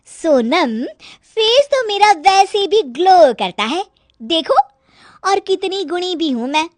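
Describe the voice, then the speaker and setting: A woman voicing a bossy, fault-finding young daughter-in-law who scolds in a shrill, loud voice in a storybook cartoon. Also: big pitch swings, takes it slowly, dry recording, clean studio sound, heavily acted. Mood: scolding.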